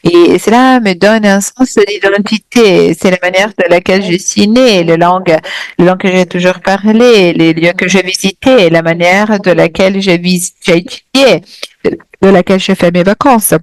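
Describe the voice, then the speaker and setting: A woman's voice: fairly low pitch, normal speed, dry recording. A woman interpreting a lecture live over a video call, hesitant and full of fillers and restarts.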